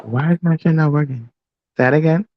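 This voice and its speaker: A man's voice: on the high side, fairly quick, a little hiss or hum. A man, talking bluntly and casually, swearing as he fiddles with his setup, heard through a phone. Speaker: live stream host. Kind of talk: casual chat. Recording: muffled lo-fi phone mic.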